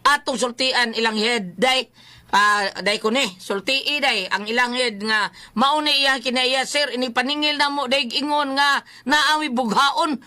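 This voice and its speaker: A person with a Cebuano accent speaking as an animated co-host in a casual, joking advice chat, repeating phrases for emphasis. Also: high voice, measured pace.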